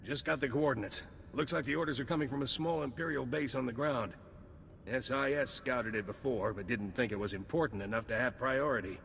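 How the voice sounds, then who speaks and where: Agente de inteligencia rasposo. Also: male voice, medium pitch, brisk, fairly bright tone, slight background noise. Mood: brisk. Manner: curt, matter-of-fact. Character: gruff male intelligence agent. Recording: game audio with long reverb.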